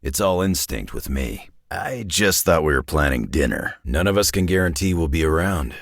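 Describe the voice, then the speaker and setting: A gruff, long-lived mutant brawler for a video game. The voice is rock-bottom low and thick with gravelly rasp, recorded close and dry. He talks in blunt, laconic, wry lines full of folksy tough-guy slang. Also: animated, normal speed, no echo, exaggerated voice. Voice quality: gravelly, raspy growl. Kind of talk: scripted game character voice lines.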